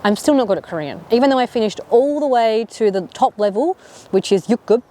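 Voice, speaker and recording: A woman's voice: fairly high pitch, lively intonation, a little fast, mellow. An Australian woman in her thirties chatting casually to camera in a vlog, rambling with lots of fillers. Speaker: vlogger.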